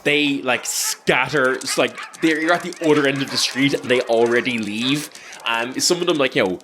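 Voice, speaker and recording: A man narrating an improvised scene as game master in an informal, halting, conversational way. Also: mid-range voice, background noise.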